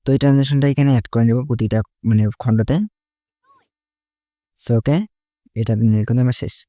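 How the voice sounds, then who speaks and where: A man's voice: medium pitch, fairly even intonation, fairly quick, dark tone, no echo, studio quality. A man giving a casual step-by-step tutorial, mixing in English words and leaning on repeated linking phrases. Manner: repetitive connective phrases, mixes English words into Bengali. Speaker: tutorial host.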